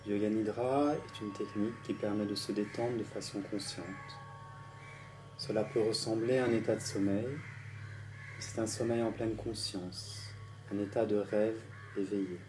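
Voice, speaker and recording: A man guiding a meditation session in a calm, gentle, deliberate way, with pauses between his instructions. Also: fairly low pitch, background noise. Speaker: yoga nidra guide. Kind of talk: guided meditation. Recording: night insects and nature sounds behind voice. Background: crickets and insects at night.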